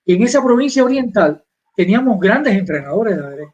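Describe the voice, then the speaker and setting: Older Cuban man, high voice, reminiscing. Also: very animated, a little fast, a little mellow, slightly rough, lo-fi sound. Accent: Cuban Spanish. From Cuba. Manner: rambling, proud, many restarts and fillers. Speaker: guest chess master. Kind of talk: live interview, reminiscing.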